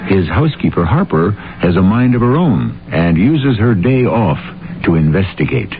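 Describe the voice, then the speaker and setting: A man's voice: sits low, sing-song, moderate pace, noisy background. A man narrating an old-time radio drama in a dramatic, scripted style. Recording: muffled old radio broadcast, loud music.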